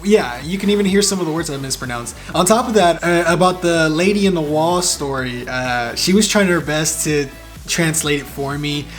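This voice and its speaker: A man's voice: on the high side, normal speed. A young man with a Spanish accent, Spanish his first language, talking casually as a travel vlogger, full of fillers and upbeat asides. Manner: chatty, filler-heavy, upbeat. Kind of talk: casual vlog narration. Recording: music playing over the voice.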